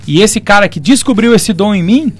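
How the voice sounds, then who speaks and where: A man in his early thirties speaks with a southern Brazilian accent. His voice is clearly high for a man. He talks informally and chattily, as a guest in a casual radio interview. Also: animated, normal speed. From Rio Grande do Sul, southern Brazil. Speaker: guest, circus clown and show producer. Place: radio studio.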